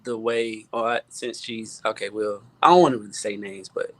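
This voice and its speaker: A man with an American accent talking casually as a guest music producer, halting and repeating words as he stresses his points. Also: on the low side, fairly bright tone. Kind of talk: casual panel talk.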